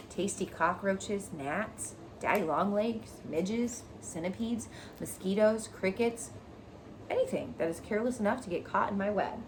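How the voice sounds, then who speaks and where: A woman's voice: medium pitch, a little hiss or hum, lightly acted, poor audio. A woman voicing a female spider in a children's storybook, with a thin, pleasant voice and a frank, breezy, bloodthirsty manner. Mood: brisk, pleased. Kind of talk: children's storybook read aloud.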